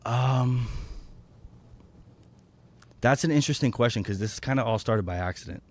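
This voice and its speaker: A man's voice: mid-range voice, normal speed. A man from Florida chatting casually as a comedian guest in an interview, often restarting and correcting himself mid-thought. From Florida, United States.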